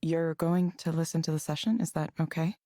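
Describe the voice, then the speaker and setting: An actress plays a calm, reserved counselor in a visual novel game. Her voice is fairly low and mellow. She speaks gently, in a measured and careful way. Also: no echo, clean studio sound, close to an everyday voice. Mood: concerned. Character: calm, reserved woman reading for a counseling program. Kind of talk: naturalistic visual novel voice acting.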